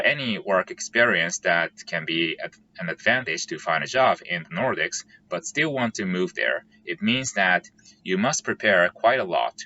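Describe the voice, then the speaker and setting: A man talks through his points in a careful, orderly way. His voice sits fairly low but has a bright, forward edge. The recording is a bit dull, with a faint hiss behind him. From Japan. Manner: careful, measured and methodical, lays out points in order. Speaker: vlogger. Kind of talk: scripted explainer monologue.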